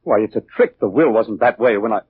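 An actor playing a scheming, falsely polite husband in a 1940s radio thriller. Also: male voice, fairly low pitch, fairly quick, slight background noise, lightly acted. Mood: flustered. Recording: muffled, narrowband 1940s radio recording.

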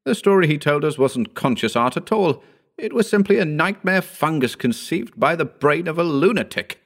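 Male narrator as vain scornful writer, pompous, theatrical, raised wide-swinging pitch, storybook horror. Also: expressive, moderate pace. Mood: dismissive. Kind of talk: dramatic audiobook character reading.

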